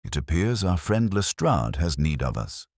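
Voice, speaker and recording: A man plays a cool, philosophical Victorian detective in an audiobook. His voice is very deep, with dramatic rises and falls, and he speaks formally and deliberately. The recording is dry. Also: sing-song, no room sound, full character voice. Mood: wry, alert.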